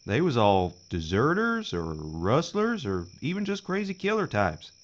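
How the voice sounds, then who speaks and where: A man's voice: mid-range voice, huge pitch swings, unhurried, a little hiss or hum. Man doing a jittery sidekick, voice jumping up and down, kinda muffled. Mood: worried. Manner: worried, pleading. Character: nervous male bounty hunter sidekick Eustace. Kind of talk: audio drama acting.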